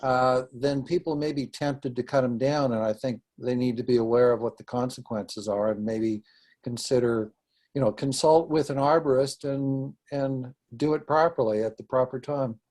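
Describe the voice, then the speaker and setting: A man's voice: medium pitch, steady tone, moderate pace, a little mellow. A man in the audience asking and discussing questions in a hesitant way, with fillers and restarts. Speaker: audience member.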